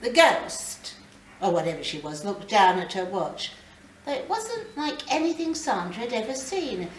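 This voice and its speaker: A woman reading literary fiction aloud live, with measured, dramatic phrasing and pauses. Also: fairly high pitch, very animated. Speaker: reader of a short story. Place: library reading event, indoors. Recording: roomy, distant mic, faint background noise.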